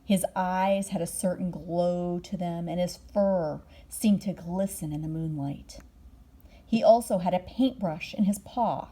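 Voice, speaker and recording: A woman reading a children's bedtime story aloud expressively, drawing out words and dropping into hushed moments. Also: fairly low pitch, unhurried. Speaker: storybook reader.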